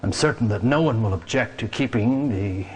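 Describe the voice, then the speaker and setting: A man's voice: fairly low pitch, moderate pace, slightly rough. An actor playing a nervous, deferential male scientist, hesitant and formal, in a video game cutscene.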